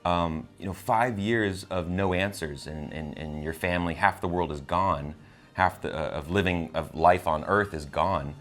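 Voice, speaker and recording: Male co-host for a chatty movie review. Low voice, halting delivery with repeated words. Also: a bit bright. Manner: hesitant, repeats words and phrases as he goes. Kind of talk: casual spoiler review chat.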